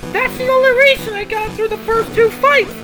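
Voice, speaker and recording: A woman's voice: extremely high pitch, steady tone, normal speed, poor audio. A woman narrating a video game review, sweary and exasperated, given to ranting outbursts. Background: music.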